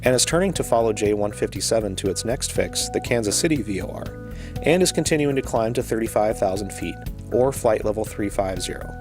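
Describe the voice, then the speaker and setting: A man with a fairly low voice delivers scripted educational narration. He explains in a measured, orderly way, with music playing clearly behind him. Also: animated.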